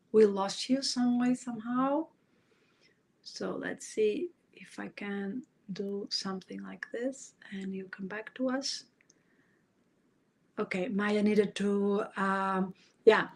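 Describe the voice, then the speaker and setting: A woman's voice: mid-range voice, slow, pauses often. A woman from Portugal, hosting a live podcast over a video call, talking earnestly and searching for her words. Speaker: podcast host.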